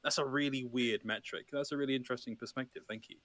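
A man's voice: medium pitch, steady tone, quick pace, a bit bright, no echo. A man hosting a casual podcast, reflecting thoughtfully on what his guest says. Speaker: podcast host.

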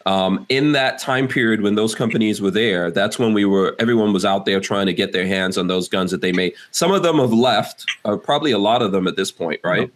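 A man's voice: on the low side, a little fast, few pauses, a little hiss or hum. Man, podcast host, casual interview, easygoing questions. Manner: casual, prompting with "right?" questions.

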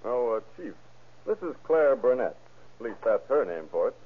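A man's voice: medium pitch, very animated, normal speed, breathy edge. An actor playing a plain-spoken male police detective in an old radio crime drama. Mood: matter-of-fact. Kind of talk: 1930s radio acting. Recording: tinny, narrow old radio recording.

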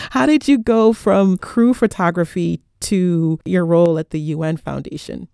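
A man hosting an interview podcast, introducing his guest in an earnest, measured way and laughing often. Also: high voice, vocal fry, darkish tone, clear, resonant tone, no echo, studio quality. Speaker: podcast host.

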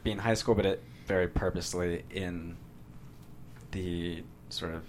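Low, even-voiced American man. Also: measured pace, a little hiss or hum. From San Diego, USA. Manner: thoughtful, hedging explanations. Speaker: screenwriter being interviewed. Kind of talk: casual interview conversation.

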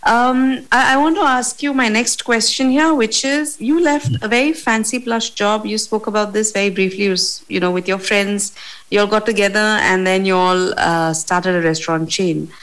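A woman's voice: medium pitch, fairly even intonation, normal speed, a bit bright, rough recording. A woman hosting a weekly interview show. She opens with an upbeat, polished welcome. Then she leads the guest through questions in a friendly tone. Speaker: podcast host.

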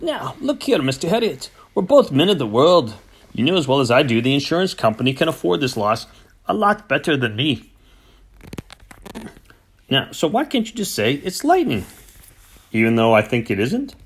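A man voicing a miserly, furious older farmer, speaking in shrill, indignant outbursts and a hoarse wheedling whisper. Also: big pitch swings, moderate pace, pauses often, exaggerated voice.

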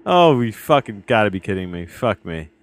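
A man's voice: on the low side, big pitch swings, moderate pace. A man in his late thirties hosting a podcast, reviewing a documentary in a loose, rambling way, restarting sentences and swearing often, recording at home. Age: 30s. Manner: rambling, profane, self-interrupting. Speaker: podcast host. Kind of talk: casual film review. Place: home, tree crew working outside. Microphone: headphones on, home recording.

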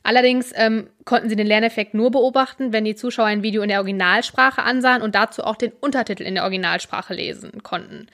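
A young woman talks through a topic in a relaxed chat. She explains at length and pauses on fillers as she thinks. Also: on the high side. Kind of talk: casual chat about subtitles and series.

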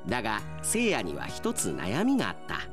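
A man performing as an earnest radio drama narrator, with measured, dramatic scripted delivery. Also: fairly low pitch, huge pitch swings, over music, pitched below the performer's own voice.

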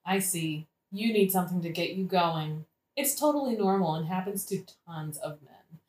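A woman's voice: fairly low pitch, moderate pace, darkish tone, close to an everyday voice. A woman playing a crazed, drunken young woman in a satirical audio play, rambling and cajoling like a showbiz agent. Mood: manic, cajoling. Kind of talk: satirical modern-language audio play acting. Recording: roomy, echoing audio-play recording.

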